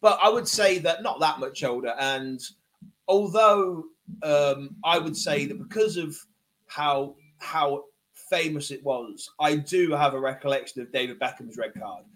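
A man in his twenties who grew up in Wales, telling stories excitedly on a casual podcast, rambling with lots of fillers. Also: on the high side, a little slow, rough recording. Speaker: podcast guest. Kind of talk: casual chat.

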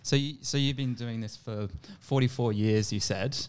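Male co-host, mid-pitched. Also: steady tone, moderate pace, darkish tone, clean tone. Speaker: podcast co-host. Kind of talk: casual interview.

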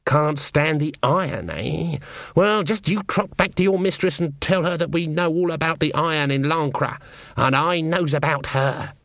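A man's voice: on the high side, moderate pace, gravelly, a bit bright, dry recording, voice pushed up. A man voicing a proud, terse old woman witch for a storybook, blunt and morose, with rustic grammar. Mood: menacing.

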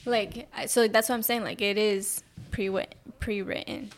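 A woman's voice: medium pitch, steady tone, moderate pace, crowd noise. A young woman hosting a casual podcast chat, argumentative and profane, often laughing. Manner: argumentative, swears, laughs a lot. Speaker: podcast host.

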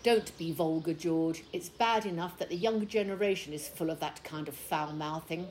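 A woman's voice: sits low, moderate pace, darkish tone, a little hiss or hum, natural voice. A woman acting in an audio play as a prim, easily offended older English village wife, scolding with precise, haughty British diction.

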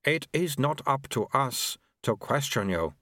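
A man's voice: mid-range voice, lively intonation, unhurried, a bit bright, no echo, clean studio sound, pitched above the performer's own voice. A man voicing a flustered senior male monk who stammers and dithers. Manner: stammering, dithering, full of uhs.

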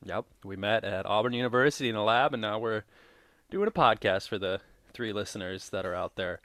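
A man's voice: on the low side, a little fast, fairly bright tone, no room sound, clean studio sound. Rambling young male podcast co-host. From Minnesota. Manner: rambling, tangent-prone, laughs often. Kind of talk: casual chat.

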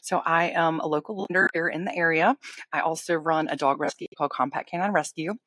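A woman's voice: deep voice, steady tone, fairly quick, fairly bright tone, no echo. A woman co-hosting a casual chat, talking in a friendly, chatty way. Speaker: podcast co-host, lender, rescue founder.

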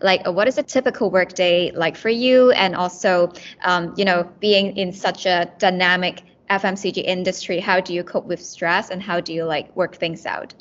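A woman's voice: lowish voice, steady tone, normal speed, few pauses. A young woman hosting a student podcast, asking questions with lots of hesitant fillers. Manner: hesitant, uses many fillers like "um" and "like". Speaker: podcast host, student club director. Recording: dull lo-fi with faint music.

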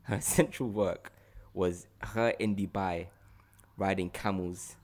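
A British man with a London accent hosting a casual podcast, talking in an animated, slangy way and repeating himself for emphasis. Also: low voice, slow pace. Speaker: podcast host.

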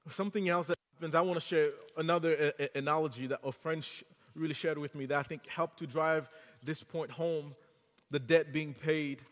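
Haitian-born preacher with a French accent. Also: male voice, a little high, steady tone, a little fast, long reverb tail. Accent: French-accented English. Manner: earnest, rising to fervent exhortation. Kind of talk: sermon. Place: church sanctuary.

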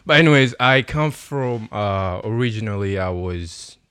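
A man's voice: fairly low pitch, unhurried, clear, resonant tone, no room sound, clean studio sound. A man in his twenties, guest on a podcast, chatting casually with frequent laughs and "um"s. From Uganda. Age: 20s. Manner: relaxed, laughs often, many ums and likes. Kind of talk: casual chat.

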